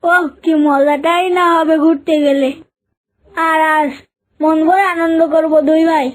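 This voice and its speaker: A woman's voice: extremely high pitch, steady tone, measured pace. A woman voicing an eager young dinosaur boy in a children's cartoon. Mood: excited, pleading.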